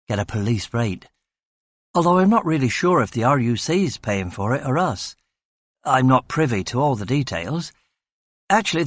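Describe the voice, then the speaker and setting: A man voicing an eager, talkative young male police constable, speaking in a brisk, breezy way. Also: mid-range voice, breathy edge, no echo, clean studio sound.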